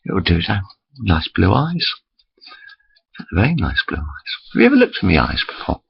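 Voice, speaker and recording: A man's voice: low voice, wildly swooping pitch, normal speed, gravelly, husky, dull audio. A man in his forties rambling chattily to his webcam, interrupting himself often. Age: 40s. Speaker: vlogger. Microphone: built-in computer camera mic.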